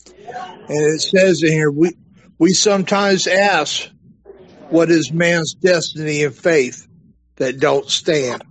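A man with a somewhat raised pitch who speaks very slowly and deliberately. He sounds like he is reading a note aloud to the group. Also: steady tone, poor audio. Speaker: participant.

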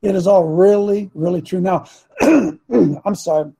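An older man hosting a talk show, reading and commenting in a rambling, preachy way, his raspy voice phlegmy and broken by throat clearing. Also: high-pitched, unhurried, warm tone. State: phlegmy, coughing. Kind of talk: casual political commentary.

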